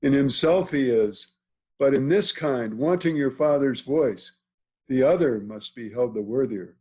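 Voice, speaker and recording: A man playing an authoritative duke, reading Shakespearean verse in a formal, deliberate manner. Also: medium pitch, dark tone, slightly rough. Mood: grave, advising. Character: authoritative, measured duke counseling a young maid. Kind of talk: Shakespearean verse table read. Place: remote video call reading. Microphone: video call.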